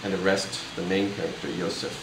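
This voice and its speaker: A man casually explaining a puppet show in a halting, informal way. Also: deep voice, flat delivery. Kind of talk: casual explanation to camera visitor. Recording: echoey room, distant mic, loud background noise.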